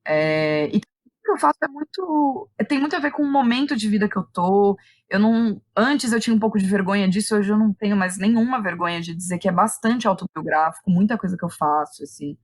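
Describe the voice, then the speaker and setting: A woman's voice: mid-range voice, a little fast. A woman with a Brazilian accent explains her work as a guest in a casual, reflective interview, often checking in with small tag questions. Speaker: interview guest, playwright and director.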